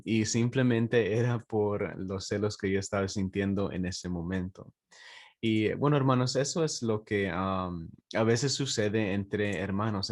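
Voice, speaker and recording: A man from Texas speaking as a pastor in an informal devotional, in a halting way with frequent drawn-out hesitations. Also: fairly low pitch. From Texas, United States. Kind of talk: informal morning devotional with prayer and personal stories.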